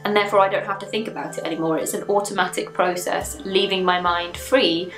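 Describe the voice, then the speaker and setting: A woman's voice: fairly low pitch, steady tone, quick pace, fairly bright tone. A young woman musing aloud to camera as a photography vlogger, recorded in a small bathroom. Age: young adult. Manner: thoughtful, musing, asks questions aloud. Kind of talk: casual reflective talk to camera. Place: small tiled bathroom, indoors. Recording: roomy small-bathroom echo.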